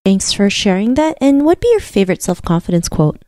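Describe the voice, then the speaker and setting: A woman's voice: medium pitch, big pitch swings, fairly quick, mellow, no echo. A woman hosting a podcast, greeting listeners and asking interview questions in an upbeat, formulaic way. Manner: upbeat, formulaic, repeats "thanks for sharing that". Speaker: podcast host.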